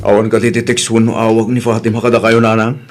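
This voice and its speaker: A man's voice: fairly low pitch, level tone, fast talker, soft background music, close to an everyday voice. A man with an Ilocano accent acting in an audio drama as a hurt, weary but resolute husband and father. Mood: serious, weary. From Philippines.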